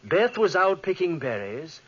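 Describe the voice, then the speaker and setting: An actor playing a haunted, guilt-ridden scientist in melodramatic 1940s radio drama style. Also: male voice, sits high, sing-song, a little slow, fairly bright tone, slight background noise, full character voice. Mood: brooding narration. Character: haunted, guilt-ridden scientist telling his own tale, tense and evasive. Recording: muffled 1940s radio recording.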